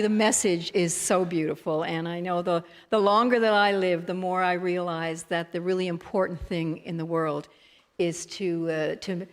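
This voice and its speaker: Woman from newfoundland, lowish voice, earnest and a bit funny, big church reverb. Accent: Newfoundland English. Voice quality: low for a woman. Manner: earnest, gently humorous. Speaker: guest song leader, media personality. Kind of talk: sincere spoken introduction. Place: church sanctuary.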